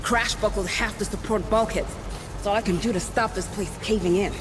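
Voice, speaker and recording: A woman's voice: mid-range voice, steady tone, brisk, music in the background, close to an everyday voice. A woman playing a busy, informal ship repair worker in a video game. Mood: busy, curt. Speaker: actor voicing a game character. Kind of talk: cinematic video game acting.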